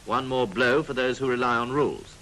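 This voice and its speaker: A man's voice: mid-range voice, fairly quick, a bit bright. A British man narrating a 1940s military training film in a clipped, instructive manner with drily jocular asides. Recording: noisy 1940s film soundtrack.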